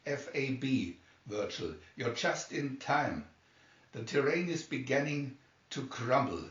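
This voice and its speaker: A man voicing a brisk, alert rescue pilot giving businesslike reports in a storybook reading. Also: mid-range voice, animated, a bit bright. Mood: brisk, concerned. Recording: dull, roomy, distant microphone.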